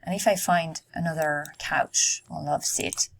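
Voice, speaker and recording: A woman giving casual commentary while building in a life-simulation game, musing aloud with many ums and short pauses, her voice going hoarse. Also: low-pitched, fairly even intonation, slight background noise. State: losing her voice. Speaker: Sims content creator.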